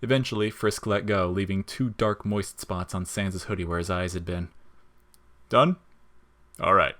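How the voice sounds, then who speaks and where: A man's voice: deep voice, fairly even intonation, moderate pace. A man reading scripted narration as the narrator of an audio story.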